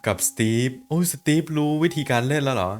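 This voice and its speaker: A young adult man giving casual, excitable reaction commentary on an animation, exclaiming often and speaking in slang. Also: medium pitch, fast talker.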